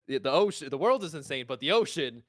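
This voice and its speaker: A man co-hosting a podcast, talking casually and informally in an animated way. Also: fairly high pitch, fast, no echo, clean studio sound. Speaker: podcast co-host.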